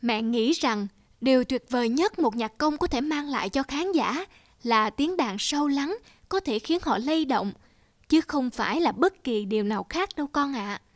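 A gentle, high-voiced cartoon mother. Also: animated, normal speed, dry recording, clean studio sound. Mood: gentle, reassuring. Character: gentle, encouraging mother of a young pianist daughter. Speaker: mother character. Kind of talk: animated fairy-tale dubbing.

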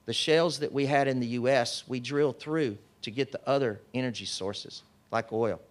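A man giving a conference speech as an energy company executive, in a measured, formal way. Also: medium pitch. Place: hotel conference room.

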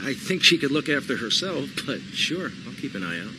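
An actor playing a tough, commanding, short-tempered gangster boss in a video game, speaking in a curt, bossy way. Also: male voice, medium pitch, sing-song, a little fast, noisy background, close to an everyday voice. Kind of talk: video game cutscene acting.

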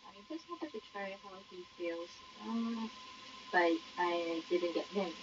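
A woman's voice: lowish voice, very slow. A woman giving casual, off-the-cuff reaction commentary as she plays a game. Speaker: game streamer. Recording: dull microphone, faint background noise.

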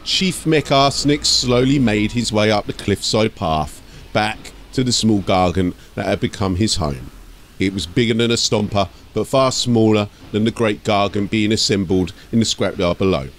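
A man's voice: on the low side, normal speed. A man narrating from a script as an audiobook storyteller and host.

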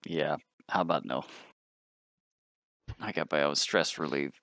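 Very deep-voiced man, casual player. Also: a little slow, vocal fry, a bit bright, no room sound. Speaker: tabletop game player. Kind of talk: casual game banter.

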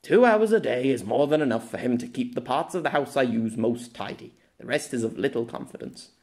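A man voicing a reserved, dry-spoken older gentleman, slightly lower than his narrating voice. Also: brisk, lightly acted. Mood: flustered. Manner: dry, flat, grumbling.

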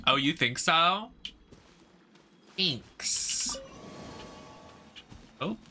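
A young adult streamer chatting casually over gameplay, deadpan and sassy. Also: mid-range voice, drawn-out words, a little hiss or hum. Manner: deadpan, sassy, idle musing, casual swearing. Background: game sound.

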